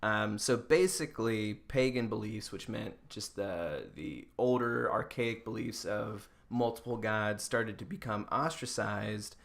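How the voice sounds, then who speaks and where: A man's voice: fairly low pitch, a little slow, vocal fry, hardly any pauses. An American man hosting a podcast, chatting casually and jokingly as he explains things to his co-host. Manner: joking, informal.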